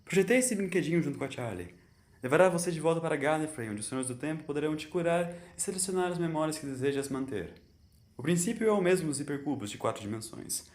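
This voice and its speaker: A man's voice: fairly high pitch, animated, fast talker, reverberant, voice pushed up, lightly acted. A man voicing an eccentric time-travelling doctor in an audio story, confident and lecturing, with emphatic, theatrical delivery.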